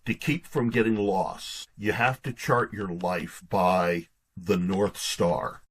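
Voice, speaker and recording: A man's voice: deep voice, steady tone, takes it slowly, a bit bright, husky. A man speaking as a guest author in an interview, conversational, often restarting and repeating his words as he goes.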